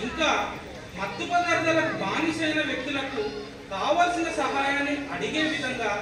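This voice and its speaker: Man playing a high-pitched, teasing TV presenter, echoey. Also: lively intonation, moderate pace, crowd noise. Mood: bright, emphatic. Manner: emphatic, addresses viewers with rhetorical questions. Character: chatty TV awareness show presenter, teasing viewers, animated. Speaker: presenter in a stage play. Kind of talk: theatrical scripted presentation in a one-act play. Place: echoey hall, voice picked up from afar. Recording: very echoey, distant mic, noisy hall.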